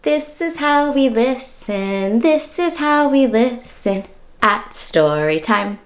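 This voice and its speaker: A high-voiced woman leads story time for little kids. Her delivery swoops up and down with playful, exaggerated surprise, and she talks straight to her young listeners as she reads. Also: slow. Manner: animated, exaggerated surprise, addressing children directly with questions. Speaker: children's story-time host and reader. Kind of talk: interactive read-aloud for young children.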